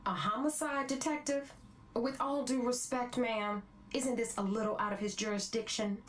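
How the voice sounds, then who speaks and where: A woman's voice: a little high, steady tone, moderate pace, slight background noise, close to an everyday voice. A woman playing a skeptical federal agent, politely challenging in a video game briefing. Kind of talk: video game cutscene acting. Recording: echoey room, faint music.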